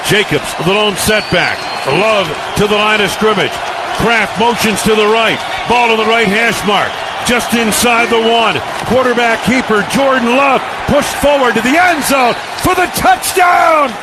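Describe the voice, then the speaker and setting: Male sports announcer, high voice, radio football commentary, clipped punchy delivery, clear background noise. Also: unhurried, shouting, a loud crowd. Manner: punchy clipped phrases, building excitement on plays. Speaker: play-by-play announcer. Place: stadium broadcast booth. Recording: noisy stadium broadcast feed.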